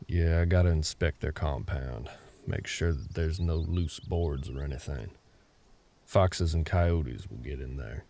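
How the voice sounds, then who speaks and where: A very deep, creaky-voiced older cowboy acted by a man in an intimate ASMR roleplay. His tone is dark and mellow, with a terse drawl. He comes across as gruff and guarded, with care underneath. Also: measured pace, full character voice. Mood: instructing, steady. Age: middle-aged. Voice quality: low, gravelly. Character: gruff older cowboy ranch hand, guarded but caring.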